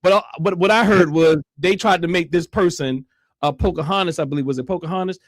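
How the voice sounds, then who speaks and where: An American man hosting a casual livestream discussion, speaking haltingly and repeating phrases for emphasis. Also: sits high, no echo. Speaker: online show host.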